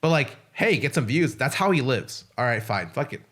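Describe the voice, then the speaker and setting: A man talking frankly on a casual podcast, swearing now and then. His pitch sits mid-range but swings up and down a lot as he talks. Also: big pitch swings, moderate pace, a bit bright. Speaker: podcast co-host.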